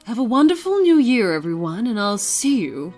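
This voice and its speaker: A woman's voice: fairly high pitch, sing-song, moderate pace, darkish tone, faint music behind. A woman hosting a storytelling podcast, addressing her listeners directly. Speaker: podcast host.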